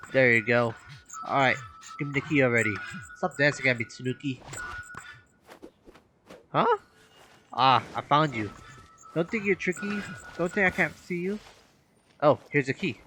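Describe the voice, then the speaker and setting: Playful male gamer over loud music. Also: medium pitch. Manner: playful, talking to himself and the game. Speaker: gaming streamer. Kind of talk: casual playthrough commentary. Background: game music.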